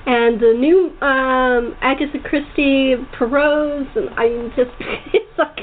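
A woman's voice: sits high, slow pace. A woman hosting a casual interview, chatting in a giggly, rambling way. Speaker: talk show host. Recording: tinny phone line with clear background noise.

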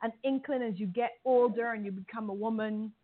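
A woman from Barbados, a panel guest speaking in a measured, articulate way. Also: fairly high pitch, fairly even intonation. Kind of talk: radio panel discussion. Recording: phone-like line with faint hiss.

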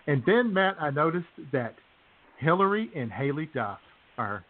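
A man's voice: fairly high pitch, sing-song, normal speed. A man hosting a comedy podcast, chatting casually and jokily with his co-hosts in a bantering, mock-enthusiastic way. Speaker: host of a comedy radio podcast. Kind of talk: casual jokey chat with co-hosts. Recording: tinny phone-like band-limited sound.

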